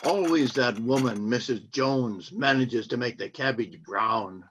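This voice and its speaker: An actor in a live radio play playing a curt, secretive, grumbling husband. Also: male voice, mid-range voice, measured pace, fairly bright tone, slight background noise, natural voice. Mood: grumbling, guarded. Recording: muffled lo-fi streamed audio.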